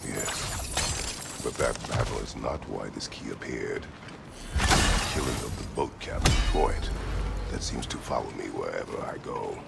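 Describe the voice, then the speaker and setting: A man playing a grim, terse middle-aged warrior in a video game, solemn and gravelly. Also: lively intonation, a little slow, exaggerated voice. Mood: grim, haunted. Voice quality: very deep, gravelly. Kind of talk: dramatic video game acting. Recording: loud music under the voice.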